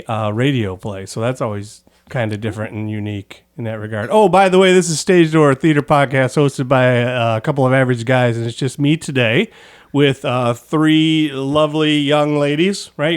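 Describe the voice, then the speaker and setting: A man's voice: mid-range voice, clean tone. A man hosting a podcast in a casual, joking, self-deprecating way, rambling a little as he chats. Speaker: podcast host. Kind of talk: casual chatty interview.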